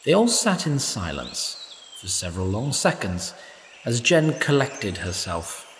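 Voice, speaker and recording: A man's voice: mid-range voice, big pitch swings, a little slow, a bit bright, long reverb tail, a little hiss or hum. Wry, playful male storyteller. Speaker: narrator. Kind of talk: scripted storytelling narration.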